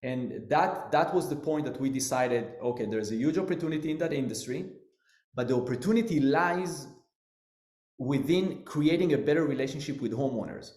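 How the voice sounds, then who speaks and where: A man's voice: mid-range voice, normal speed, a little mellow. Israeli-accented man telling his story. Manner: earnest, loosely phrased, non-native grammar. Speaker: business owner guest. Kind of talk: storytelling interview answer. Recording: roomy echo.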